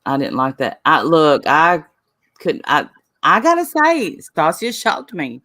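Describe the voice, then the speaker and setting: A Southern woman co-hosts a casual chat, animated and teasing as she reacts to viewer comments. Her voice is somewhat low and chatty. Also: a little slow. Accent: Southern American. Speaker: co-host of a chat stream.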